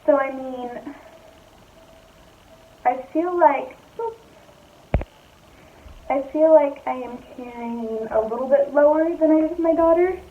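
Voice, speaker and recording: A young woman talking casually to her viewers from home, her voice slightly raspy and hoarse, chatty and easygoing as she thinks aloud. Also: sits high, slow, long pauses, phone-quality sound, a little hiss or hum. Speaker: vlogger. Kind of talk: casual chat to camera. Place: at home, indoors.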